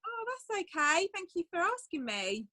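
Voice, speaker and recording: High, bright woman, shy. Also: very animated, normal speed, no room sound, clean studio sound. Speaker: guest speaker. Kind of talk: telling her story on a video call.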